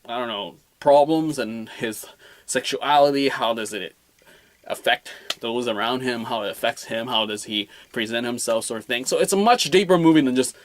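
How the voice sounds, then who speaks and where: A man speaks as an amateur reviewer, casual and unscripted, at a medium pitch. He rambles in loose colloquial phrases, often circling back to repeat a word or phrase. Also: normal speed. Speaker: film reviewer.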